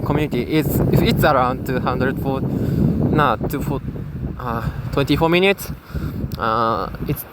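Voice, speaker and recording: A young man with a Japanese accent speaks English slowly and haltingly, pausing on fillers as he works through his thoughts. His voice sits at a middle pitch, and heavy wind roars across the microphone outdoors, with a boat engine droning behind him. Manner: hesitant, many fillers and restarts. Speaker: gamer. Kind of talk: casual spoken commentary. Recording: loud wind noise on the mic.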